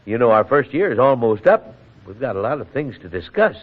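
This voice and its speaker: A man's voice: medium pitch, sing-song, a little fast. Earnest townsman on tinny old radio. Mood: genial, earnest. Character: earnest, civic-minded townsman in a small rural community. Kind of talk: 1940s radio acting. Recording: muffled, narrow-band old radio broadcast.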